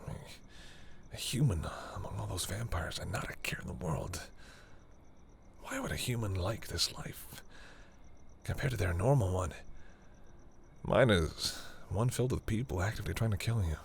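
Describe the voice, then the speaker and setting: A proud vampire lord, played by a man, speaks very low, with a heavy gravelly creak. He is theatrical and easily flustered, with a tender streak under the pride. It is intimate audio roleplay, with noticeable ambient noise behind the voice. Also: expressive, moderate pace, pauses often. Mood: brooding, musing. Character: proud male vampire lord, flustered, secretly tender toward human lover.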